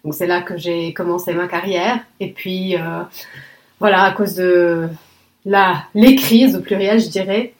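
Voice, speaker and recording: A woman's voice: lowish voice, steady tone, unhurried, reverberant. A woman from Lebanon whose first language is Arabic, a conference interpreter explaining her work methodically with frequent filler sounds.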